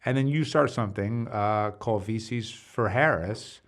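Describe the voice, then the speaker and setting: Lowish-voiced male podcast host. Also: moderate pace. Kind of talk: casual interview conversation.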